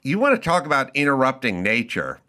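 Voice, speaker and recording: A man's voice: medium pitch, huge pitch swings, fairly quick, bright, forceful tone. A man hosting a podcast interview, asking blunt, skeptical questions. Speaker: host.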